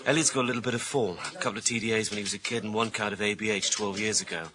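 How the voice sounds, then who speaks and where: An actor playing a cynical, grumbling junior British police detective in a radio thriller, talking bluntly and colloquially. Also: male voice, fairly low pitch, fairly even intonation, very fast, bright, forceful tone. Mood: matter-of-fact, wry. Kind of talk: naturalistic radio thriller acting.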